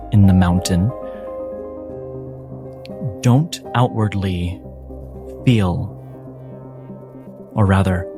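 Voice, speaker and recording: A man narrating a tabletop game as game master, in deliberate, suspenseful phrases broken by pauses. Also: sits low. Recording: voice over background music.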